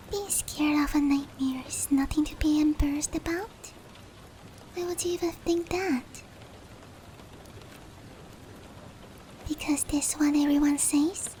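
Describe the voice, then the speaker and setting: A soft, breathy female demon played by a woman whispers tenderly in a high voice. She is caring and reassuring, in the close, intimate style of roleplay ASMR. Light rain noise runs behind her. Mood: concerned, reassuring. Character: gentle, caring female sleep paralysis demon comforting a human.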